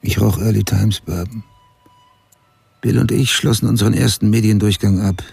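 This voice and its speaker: An obsessed crime writer narrating a radio play. He is terse and restrained, with a dark, husky low voice. The recording is dry and close. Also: fairly even intonation, normal speed, no echo, exaggerated voice. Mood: brooding, matter-of-fact. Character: adult male crime writer, obsessed and haunted, recalling his investigation.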